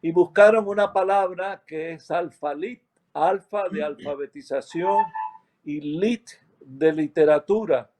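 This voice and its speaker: A man with a Cuban accent tells a church story at an unhurried pace, his voice sitting a little high for a man. He stops often to search for words and fix what he just said, like someone sharing a personal history. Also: a little mellow. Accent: Cuban Spanish. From Cuba. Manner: unhurried, with many hesitations and self-corrections. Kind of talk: slow, reflective testimony.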